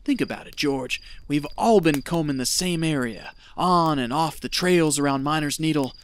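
An actor playing a greedy, callous treasure hunter in an audio drama, talking in a scheming, folksy, persuasive way. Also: male voice, a little high, normal speed, natural voice.

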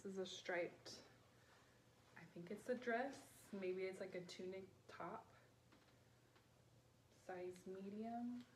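A woman in her thirties chatting casually in a low-key, offhand way, as if showing finds to viewers at home. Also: takes it slowly, pauses often. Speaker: vlogger and online vintage reseller. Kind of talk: casual haul video chat. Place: small living room at home, indoors. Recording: clear background room noise.

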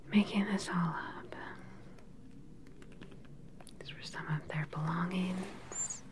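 A woman in her thirties playing a calm, sinister scientist in an ASMR sci-fi roleplay, whispering softly, close to the mic, with a clinical, condescending manner. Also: fairly low pitch, noisy background. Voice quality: soft, breathy whisper. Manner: unhurried, clinical, quietly condescending. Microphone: close microphone, seated facing the camera.

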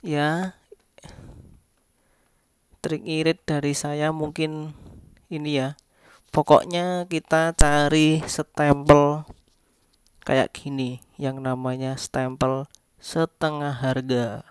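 A vlogger speaks casually at a middle pitch with very little melody. The delivery is slow and halting, phrase by phrase, as in a homemade narration over close-up shots. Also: flat delivery, clean tone, dry recording.

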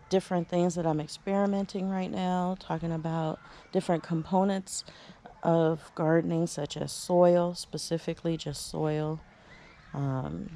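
A woman strolls through her backyard garden and chats about her plants in an unhurried, relaxed way. Her voice is on the lower side and mellow, picked up by the camera she carries outdoors, with a little faint noise around it. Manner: chatty and relaxed, many fillers and restarts. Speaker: home gardener giving a garden tour. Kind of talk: casual walking garden tour.

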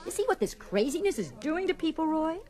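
An actress playing an embarrassed, disapproving suburban wife with an anxious manner in a dramatised story record. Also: female voice, high voice, sing-song, fairly quick, dark tone. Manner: scolding, anxious.

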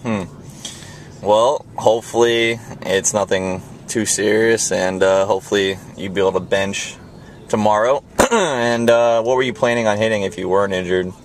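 A man hosting a casual, joking interview with friends during a car ride. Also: fairly low pitch. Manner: joking, quick to laugh. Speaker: vlog host interviewing friends. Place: inside a car on the road. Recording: noisy moving car interior. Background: car and road noise.

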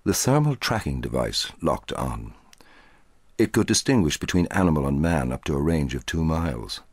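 A middle-aged man reading a short story aloud in a measured, dramatic way, with a husky voice. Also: very deep voice, sing-song, no room sound, clean studio sound. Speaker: audiobook narrator.

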